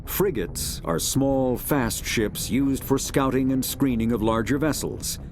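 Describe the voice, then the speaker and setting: A man narrating a science fiction game's encyclopedia in a measured, formal, authoritative documentary style. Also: mid-range voice, natural voice. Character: formal male narrator of a sci-fi codex. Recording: music behind the voice. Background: clear background music.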